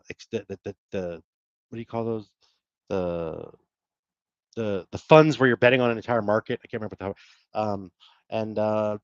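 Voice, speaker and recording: Rambling, low-voiced man on a livestream. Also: dry recording, dull audio. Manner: rambling, self-deprecating, with fillers and laughs. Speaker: livestream host. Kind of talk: casual livestream chat, reading viewer comments.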